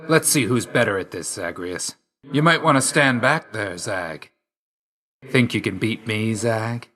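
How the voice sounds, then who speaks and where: Deep voice, forceful bright edge, aloof young death god, clipped, solemn, dutiful, quietly wounded, video game character. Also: male voice, lively intonation, a little slow. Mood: cool, resolute.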